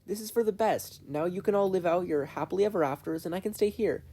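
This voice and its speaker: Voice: medium pitch, fast talker, mellow, voice pushed up, close to an everyday voice. A narrator voicing a despairing, self-loathing, defensive adolescent boy in a fan fiction reading. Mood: resigned. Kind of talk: fan fiction audiobook character voice.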